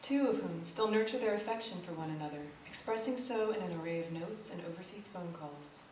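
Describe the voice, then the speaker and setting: A woman's voice: on the low side, brisk, darkish tone. Distant, echoing woman reading on stage. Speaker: reader in a live staged reading. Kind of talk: dramatic literary reading. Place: live theater performance. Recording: very echoey hall, distant, faint noise.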